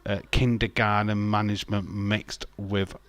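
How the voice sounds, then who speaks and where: A man's voice: on the low side, little pitch movement, a little slow, fairly bright tone. A middle-aged man with a Yorkshire accent, hosting a casual watch-along stream, chatting loosely, reading viewer comments and laughing often between rambling restarts. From Yorkshire, England. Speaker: livestream host.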